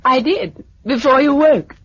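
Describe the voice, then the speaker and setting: A woman's voice: high-pitched, lively intonation, a little slow, breathy edge, exaggerated voice. An actress playing a concerned, compassionate woman survivor in a 1950s radio drama, earnest and anxious. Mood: watchful. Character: Gisela, concerned, compassionate young female survivor. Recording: muffled, noisy vintage radio recording.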